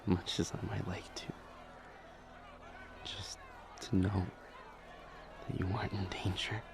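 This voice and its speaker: A man playing a shaken, devoted demon lover in an audio drama, halting and breathy as he speaks. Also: low-pitched, little pitch movement, a crowd in the background, noisy background, heavily acted. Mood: tender, worried. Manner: halting, stammering, breathy urgent delivery. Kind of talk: intense dramatic audio roleplay acting.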